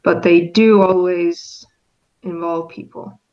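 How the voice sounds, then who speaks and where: A woman's voice: fairly low pitch, steady tone, slow, a little mellow, highs cut off. A woman from Finland, a guest in a casual conversation, talking thoughtfully with frequent hesitations and restarts. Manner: hesitant, restarts often, reflective. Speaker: interview guest.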